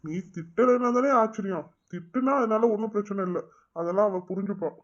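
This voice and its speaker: A woman voicing a kind, indulgent father who speaks calmly and teases gently. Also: mid-range voice, a little fast, lightly acted. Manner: calm, gently teasing. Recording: dull lo-fi sound.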